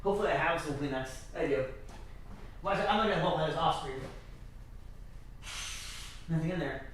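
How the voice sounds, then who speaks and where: Rambling, quick-talking man in an echoey room. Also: on the high side, slight background noise. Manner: rambling, halting, many restarts and self-corrections. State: stuffy nose, slightly scratchy throat. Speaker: livestreamer. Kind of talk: rambling solo livestream chat.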